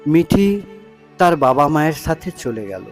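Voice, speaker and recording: A man with a fairly high voice tells a story slowly and emotively. He breaks it into short, dramatic phrases with pauses between them. Music plays softly behind him. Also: very animated. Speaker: storyteller, narrator. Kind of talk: dramatic scripted story narration in Bengali.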